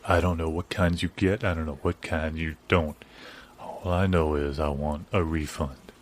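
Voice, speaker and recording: Very deep-voiced, deadpan dissatisfied customer, played by a man. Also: normal speed. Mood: dry, insistent. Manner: deadpan, insistent. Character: dogged, exasperated male customer demanding a refund. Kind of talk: improvised audio comedy sketch. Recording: clear background ambience noise.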